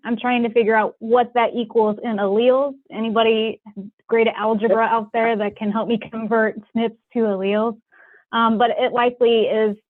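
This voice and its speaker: A woman's voice: medium pitch, no room sound. A woman speaks over a narrow, phone-like conference connection with very little room sound. She gives an informal update in a conversational, explanatory way. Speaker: public health epidemiologist. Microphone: conference call connection. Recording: muffled phone-line conference call audio.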